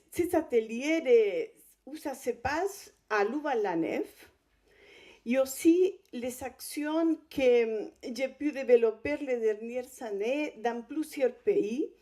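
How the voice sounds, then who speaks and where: Female guest speaker, native Spanish with Chilean accent. Unhurried, soft-edged tone, hesitant phrasing, presenting at a conference. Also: a little high. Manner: hesitant, halting delivery with non-native phrasing. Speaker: guest speaker, visual artist.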